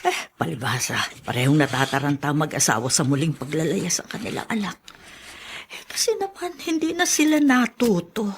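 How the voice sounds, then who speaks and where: A woman's voice: deep voice, lively intonation, moderate pace, slight background noise. A woman playing a chatty, gossipy household maid in a radio drama, muttering to herself colloquially. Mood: grumbling. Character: chatty, gossipy household maid grumbling about her employers.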